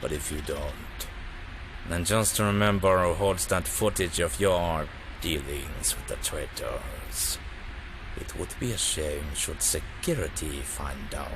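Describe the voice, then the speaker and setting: A man's voice: rumbling low, slow, background noise. A man playing a ruthless, menacing, controlling dictator in an audio drama. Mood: cold menace.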